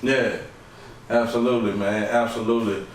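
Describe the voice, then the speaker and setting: A man's voice: lowish voice, steady tone, moderate pace. A man hosting a casual interview, friendly and loose, dropping "man" and fillers into almost every line. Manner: friendly, filler-heavy, says "man" constantly. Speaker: podcast host. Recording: echoey room, mic far off, faint hiss.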